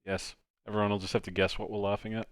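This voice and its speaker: A man's voice: fairly low pitch, little pitch movement, fast talker, no room sound. A man hosting a live stream, chatting casually and joking with friends. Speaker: host of a live dubbing stream.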